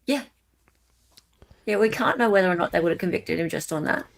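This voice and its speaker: A woman's voice: on the low side, brisk, gravelly. A woman with an Australian accent hosting a casual panel discussion, agreeing and restating points to check them.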